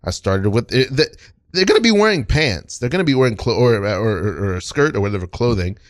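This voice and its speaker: A man playing an earnest, self-justifying apprentice puppet builder in an improvised comedy scene, halting as he defends his idealism. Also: medium pitch, lively intonation, no echo, studio quality, natural voice. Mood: earnest, defensive.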